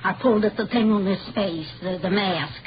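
Actress as a low-voiced, frightened Swedish housemaid. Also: female voice, close to an everyday voice. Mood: nervous, earnest. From Sweden. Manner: halting, broken English, repetitive and emphatic. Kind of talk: mid-century radio drama acting. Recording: dull, noisy old radio recording.

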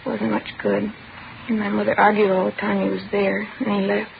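A woman playing a distraught, bitter teenage girl in an old radio crime drama, giving halting, short answers. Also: medium pitch, flat delivery, vocal fry. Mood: subdued, guarded. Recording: tinny, faintly noisy old radio broadcast.

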